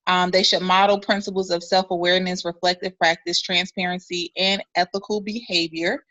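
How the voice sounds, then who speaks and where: A woman with a fairly low voice presents over a video call that sounds dull and muffled. She moves deliberately and hesitantly through her points, pausing on "um" between them. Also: level tone, fairly bright tone. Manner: deliberate, hesitant, many "um" pauses. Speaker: student presenter.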